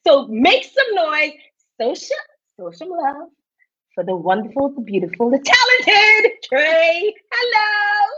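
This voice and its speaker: A woman's voice: extremely high pitch, big pitch swings, slow. Effusive woman hosting an online interview. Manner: effusive, hypes guests with shout-outs. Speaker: host. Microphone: video call. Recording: slightly dull lo-fi sound.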